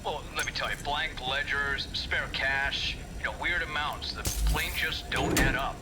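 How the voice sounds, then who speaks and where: An actor playing a bewildered, uneasy studio bookkeeper, hesitant and folksy, on a noisy video game audio log. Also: male voice, medium pitch, fairly even intonation, a little slow, over loud music, lightly acted. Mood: baffled. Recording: very noisy, droning vintage recording.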